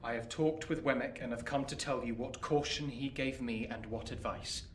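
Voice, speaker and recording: An actor playing an earnest, anxious young gentleman in radio play dialogue, polite and sincere. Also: mid-range voice, steady tone, moderate pace, lightly acted. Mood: sincere, concerned. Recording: echoey, distant, faint noise.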